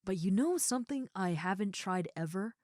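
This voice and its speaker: A woman playing a gentle, shy young ogre roommate in an intimate comfort roleplay, talking softly, casually and a little hesitantly to the listener. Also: mid-range voice, lively intonation, moderate pace, dry recording, clean studio sound, lightly acted. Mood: shyly excited. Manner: soft, hesitant, casual, reassuring.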